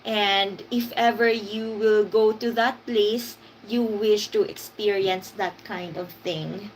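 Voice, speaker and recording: Slow-talking woman hosting a stream. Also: medium pitch, fairly even intonation, slight background noise, low-quality recording. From Philippines. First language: Hiligaynon. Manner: unhurried, reads out viewer comments and greets them. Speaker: live stream host. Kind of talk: casual live stream chat.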